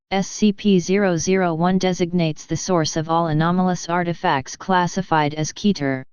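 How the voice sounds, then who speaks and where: A woman reading scripted narration of a formal document in a measured, impersonal manner. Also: fairly low pitch, a little mellow, clear, resonant tone, no room sound, muffled recording.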